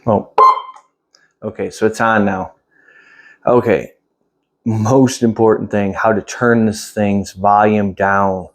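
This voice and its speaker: A man's voice: on the low side, fairly even intonation, slow, long pauses. A man giving a casual, hands-on product demonstration indoors, thinking aloud in a plain-spoken, rambling way. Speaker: product reviewer. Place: indoors at home.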